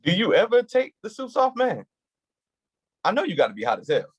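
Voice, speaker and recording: An actor playing a hurt, defensive male police officer in a staged play reading, touchy and quick to snap back. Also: sits high, huge pitch swings, slightly rough, highs cut off, heavily acted.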